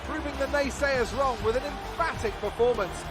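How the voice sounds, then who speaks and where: Racing commentary for a video game, pitched extremely high for a male voice and full of excitement. Loud music plays behind him. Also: moderate pace. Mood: excited.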